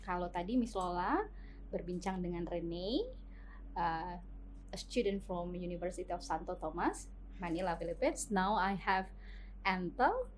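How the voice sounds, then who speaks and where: A woman's voice: on the low side, lively intonation, normal speed, a little hiss or hum. A woman from Indonesia, a lecturer hosting an interview, asking questions and encouraging her guest with frequent "mm-hmm" replies. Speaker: lecturer and host. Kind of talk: interview host.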